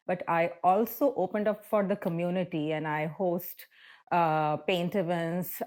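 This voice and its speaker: A woman from Pakistan, an artist introducing herself in an interview, sincere and halting, often repeating words. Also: fairly low pitch, a little mellow. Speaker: artist and art instructor.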